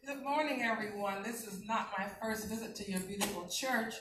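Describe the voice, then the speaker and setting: An older American woman addresses a congregation as a visitor. The sound is distant and roomy, with clear noise behind her. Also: mid-range voice, fairly quick. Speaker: church visitor. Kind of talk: greeting a congregation. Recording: echoey hall, distant, noisy.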